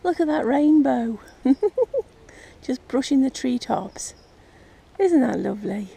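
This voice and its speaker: An English woman chatting casually and gently as she narrates a nature vlog outdoors. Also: high-pitched, animated, slow, vocal fry, husky. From England. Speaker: vlogger. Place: outdoors in an urban park. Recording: outdoor ambient noise, birdsong. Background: birds and park ambience.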